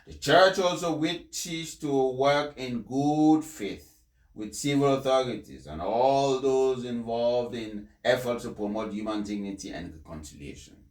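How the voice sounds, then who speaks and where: A man speaking in an emphatic, deliberate way, like someone delivering a speech and commenting on it. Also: medium pitch, darkish tone. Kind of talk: formal read-aloud speech, then impassioned personal commentary. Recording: echoey, reverberant room sound.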